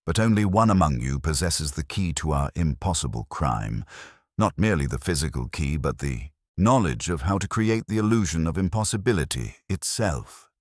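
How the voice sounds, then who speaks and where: A meticulous older detective, as a man puts him on, speaking in a measured, formal way. His voice sits very deep but moves lively up and down. The sound is close and dry. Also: no room sound. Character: fussy, meticulous older male Belgian detective.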